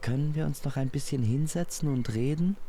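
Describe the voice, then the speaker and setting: A man voicing an elegant, gentle young lady who speaks politely and earnestly. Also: on the high side, a little fast, a little hiss or hum, pitched above the performer's own voice, lightly acted.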